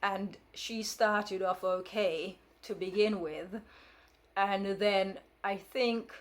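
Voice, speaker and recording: A woman's voice: medium pitch, steady tone, slow pace. Frank woman in her fifties, rambling. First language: Jamaican Patois. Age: 50s. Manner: rambling, many fillers and restarts; frank and firm. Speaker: vlogger. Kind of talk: casual storytelling monologue.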